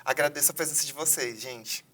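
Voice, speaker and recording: A man's voice: medium pitch, a little fast, pushed and bright, slightly rough, distant mic. A man hosting a university videocast from a theater, reading formal introductions. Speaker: host.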